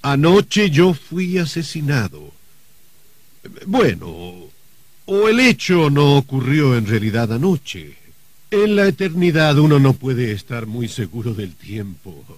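Husky, gleefully menacing uncle. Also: male voice, medium pitch, big pitch swings, no room sound. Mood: mocking, ominous. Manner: theatrical, halting, sardonic. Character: gleeful, mocking, menacing uncle, a murdered novelist host. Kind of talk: melodramatic radio drama acting.

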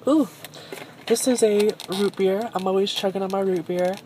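A young woman talks brightly and chattily about her outfit and finds, holding her phone at arm's length. Her voice sits in a middle register. The phone recording carries clear hiss and room noise. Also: medium pitch, fairly even intonation, moderate pace. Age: young adult. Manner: bubbly, self-admiring and chatty. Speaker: thrift vlogger. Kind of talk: casual vlog chat. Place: inside a parked car and a thrift store. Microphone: phone camera held at arm's length.

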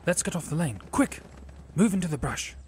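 A man's voice: fairly high pitch, big pitch swings, moderate pace, a little hiss or hum, pitched above the performer's own voice. A man voicing a cautious, anxious swordsman in a fantasy audiobook, with an urgent, worried manner. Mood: urgent, uneasy. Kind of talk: audiobook character dialogue.

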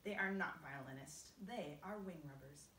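A woman's voice: deep voice, normal speed, sharp tone, echoey room, distant mic, slight background noise, voice pushed down. A woman voicing an old male grasshopper, a genial, proud musician with a courteous, old-fashioned, teasing way of explaining. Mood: genial, instructive.

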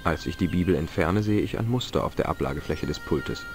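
A man's voice: deep voice, expressive, fairly quick. A man playing an easygoing, curious young amateur investigator in an adventure game, conversational and slightly wry. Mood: observing, wry. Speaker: game protagonist and narrator. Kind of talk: adventure game voice acting, German dub. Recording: game music under the voice.

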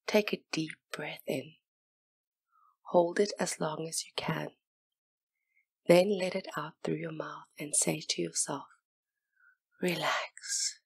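A woman guiding a hypnosis meditation in a soft, breathy near-whisper, with soothing, gentle, unhurried instruction. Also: sits low, dry recording. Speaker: hypnotist and session guide.

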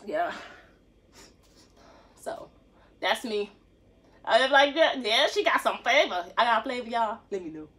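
A woman's voice: a little high, lively intonation, a little slow, pauses often, a bit bright. Young woman, easygoing and playful, talking to viewers mid-stretch from the floor of a small room. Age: 20s. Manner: chatty, joking, lots of laughs and slang. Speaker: dance vlogger. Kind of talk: casual chat to camera while stretching. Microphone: phone camera on the floor.

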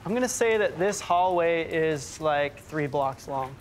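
A man's voice: a little high, lively intonation, a little slow, a little hiss or hum. A man in his thirties hosting a documentary, talking thoughtfully and casually, partly on location outdoors. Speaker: host. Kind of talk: documentary presenting and voice-over. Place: outdoors and inside an abandoned factory.

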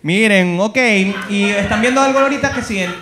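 A man's voice: high-pitched, fairly quick. A man with a Venezuelan accent doing stand-up crowd work, teasing the audience in crude, exclamatory slang. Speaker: stand-up comedian. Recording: live venue, faint background noise. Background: comedy club audience ambience.

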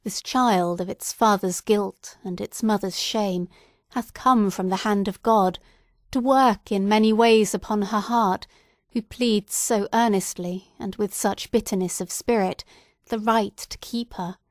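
A woman's voice: medium pitch, steady tone, measured pace, no echo, clean studio sound, close to an everyday voice. A woman reading the part of a nervous young male clergyman who speaks earnestly and solemnly.

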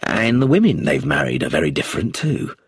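A man voicing a calm, blunt police chief inspector in an audiobook, low, steady and abrupt. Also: brisk, slightly rough, no echo, heavily acted. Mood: firm.

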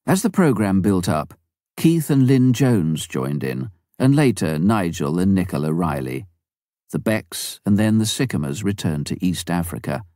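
Deep, dark-voiced man reading a memoir. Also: no room sound, studio quality. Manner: measured, even first-person reading. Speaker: audiobook reader. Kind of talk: scripted memoir narration.

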